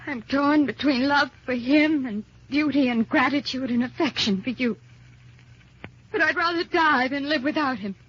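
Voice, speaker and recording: An actress playing a very young wife, earnest and pleading, in the theatrical manner of old-time radio melodrama. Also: female voice, sits high, normal speed, a bit bright. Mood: torn, anguished. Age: young adult. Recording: dull old radio recording.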